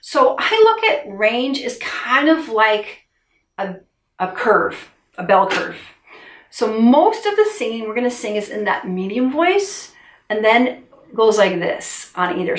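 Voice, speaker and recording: A woman's voice: mid-range voice, far from the mic. A middle-aged woman and vocal coach, giving a friendly, encouraging singing lesson to camera in a small room at home. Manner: warm encouraging teacher, gestures with hands while explaining. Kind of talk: instructional video lesson. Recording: roomy sound, a little off-mic.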